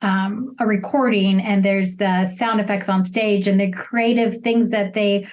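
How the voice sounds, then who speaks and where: Woman co-hosting a relaxed interview. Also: medium pitch, unhurried. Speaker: interview host. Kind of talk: casual interview chat.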